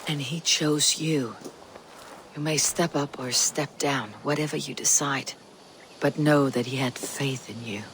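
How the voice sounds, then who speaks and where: An actor playing a blunt, stoic Viking raider in a video game cutscene, speaking in a measured, solemn way. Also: male voice, mid-range voice, fairly bright tone, a little hiss or hum. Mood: solemn, consoling.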